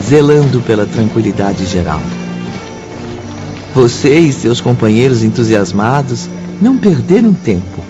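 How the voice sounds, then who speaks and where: A man playing the storyteller of a spiritual radio drama, narrating in a formal, measured way. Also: medium pitch, close to an everyday voice. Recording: dull sound with music behind.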